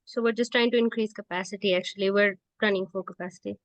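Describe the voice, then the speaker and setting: A woman's voice: mid-range voice, steady tone, fast talker, no room sound. A woman organizing an online session, chatting in an upbeat, reassuring way. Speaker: webinar organizer. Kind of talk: casual chat. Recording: dull dry call audio.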